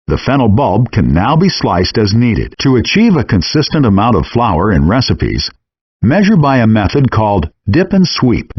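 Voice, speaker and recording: Muffled lo-fi low-voiced male cooking instructor. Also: no room sound. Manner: clear step-by-step instructing. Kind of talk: scripted instructional narration. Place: dry close voice-over recording. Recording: muffled lo-fi handheld game audio.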